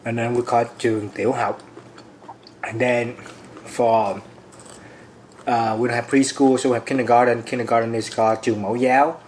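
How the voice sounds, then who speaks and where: A young man talks easily over a meal, his voice sitting in an ordinary middle range. He pauses on fillers as he searches for words, and he is a little sweaty from the spicy food. Faint background noise sits under him. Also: mid-range voice. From Vietnam. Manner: relaxed, easygoing, hesitant with fillers. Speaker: co-host. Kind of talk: casual chat while eating.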